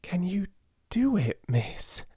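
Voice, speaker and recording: A man voicing a timid, downtrodden young servant girl, hoarse and eager, with a working-class English accent. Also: high voice, sing-song, slow, warm tone, dry recording, studio quality, pitched above the performer's own voice, heavily acted. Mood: faltering, admiring.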